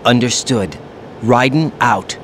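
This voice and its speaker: A man's voice: mid-range voice, slow, slight background noise, lightly acted. An actor playing a young male special-forces agent in a video game, earnest and questioning. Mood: tense, frustrated. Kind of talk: video game cutscene acting.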